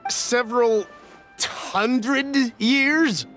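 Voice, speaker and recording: Fretful merchant woman, animated delivery. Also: mid-range voice, normal speed. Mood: flustered. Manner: flustered, earnest, hesitant. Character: anxious, cautious merchant woman, polite and fretful. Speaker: voice actor in a video game. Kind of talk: scripted game dialogue. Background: background music.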